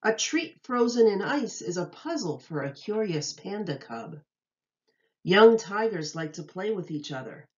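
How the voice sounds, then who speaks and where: A woman teacher reading a children's nonfiction book aloud, enunciating carefully and deliberately for young listeners. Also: mid-range voice, big pitch swings, darkish tone, highs cut off.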